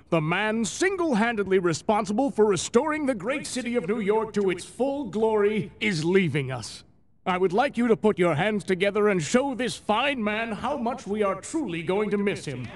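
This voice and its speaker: Pompous cartoon mayor, high lively voice, gushing formal speech. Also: male voice. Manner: effusive formal public speaking. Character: pompous middle-aged city mayor, fawning.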